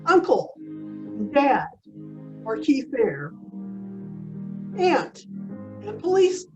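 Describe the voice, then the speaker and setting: A woman's voice: fairly high pitch, big pitch swings, extremely slow, highs cut off. A woman announcer giving a scripted sign-off in the style of old-time radio. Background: plucked string music.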